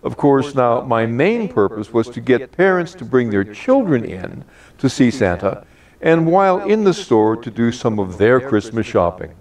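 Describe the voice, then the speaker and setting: An older man, in his late sixties or beyond, tells a personal holiday story in a measured, deliberate and expressive way, as if from a prepared script. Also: mid-range voice, recorded from a distance. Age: late 60s or older. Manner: measured, deliberate, expressive delivery with drawn-out phrases.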